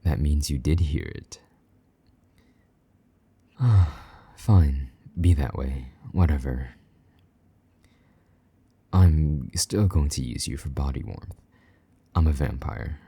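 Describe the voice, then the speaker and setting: A man playing a deep gravelly vampire knight. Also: takes it slowly, pauses often, no echo, full character voice. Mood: tender. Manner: low murmuring with soft whispers, teasing and gruff. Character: possessive jealous male vampire knight. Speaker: voice actor in audio roleplay. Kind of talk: intimate audio roleplay.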